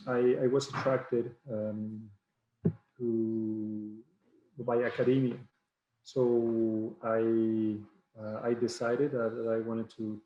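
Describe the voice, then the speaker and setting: This is a middle-aged man with a Mexican accent whose first language is Spanish. He introduces himself at an unhurried pace, pausing and hesitating often. His voice comes over a video call, slightly dull and with plenty of room around it. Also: medium pitch, a little mellow. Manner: halting, with frequent pauses and hesitations. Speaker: professor on a panel. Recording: roomy, muffled video-call audio.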